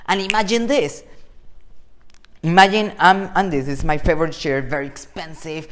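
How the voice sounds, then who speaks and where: A man in his twenties with a Venezuelan accent, a motivational speaker talking to camera in a playful, animated way and acting out imagined dialogue. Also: a little high, normal speed. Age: 20s. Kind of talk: talk to camera.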